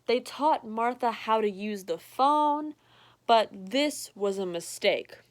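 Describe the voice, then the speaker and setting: A woman's voice: on the high side, animated, takes it slowly. A woman reading a picture book aloud to a child, with playful, chatty asides. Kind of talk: read-aloud storytelling for a child. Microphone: recorded to a screen for remote viewing.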